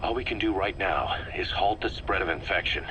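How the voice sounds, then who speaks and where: An actor playing a hard, suspicious, bitter military commander in a video game, speaking in a terse, accusatory way. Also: male voice, deep voice, a little fast, close to an everyday voice. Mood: grim, urgent. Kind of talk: dramatic video game voice acting. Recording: slightly noisy cassette tape recording.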